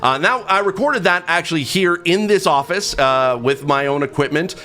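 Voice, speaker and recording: A man's voice: a little high, lively intonation, moderate pace, pushed and bright. A man hosting his own video, explaining things in a personal narration. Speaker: host, video creator and voice actor. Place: office, own recording setup. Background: music.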